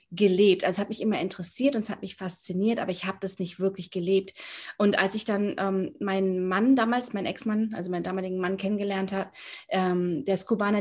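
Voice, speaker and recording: Hesitant German woman in her early forties. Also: mid-range voice. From Germany, near Frankfurt. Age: 40s. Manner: hesitant, many fillers, searching for words. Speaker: podcast guest. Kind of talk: casual interview storytelling.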